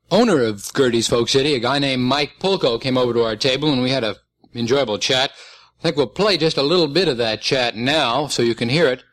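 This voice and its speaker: A male radio host presents his program in a measured, announcing way. He introduces the tapes and sets up the chat that follows. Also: mid-range voice, a bit bright.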